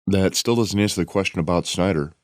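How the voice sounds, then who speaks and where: Low-voiced, skeptical policeman played by an actor. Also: brisk, no room sound, studio quality, close to an everyday voice. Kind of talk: audio drama dialogue.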